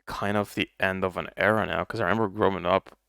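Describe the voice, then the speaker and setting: A man's voice: sits low, steady tone, fast, creaky, fairly bright tone, clean tone, no room sound, studio quality. A young man co-hosting a casual podcast, speaking English as a second language, thoughtful and rambling with many restarts. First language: not English. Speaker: podcast co-host. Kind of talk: casual chat.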